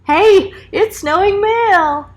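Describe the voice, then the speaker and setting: A woman's voice: extremely high pitch, slow pace, voice pushed up. A woman voicing a cheerful, helpful little girl in a sweet, reassuring storybook manner. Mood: excited, reassuring. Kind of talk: children's storybook character voice.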